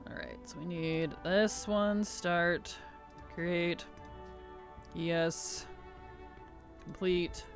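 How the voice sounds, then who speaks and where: A chatty streamer talks through a gameplay session at a mid-range pitch, sucking on a cough drop. The throat sounds a little rough, and faint game music plays behind the voice. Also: steady tone, very slow, long pauses. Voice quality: sensitive, slightly rough throat. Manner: chatty, answers chat while playing. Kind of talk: casual gameplay chat.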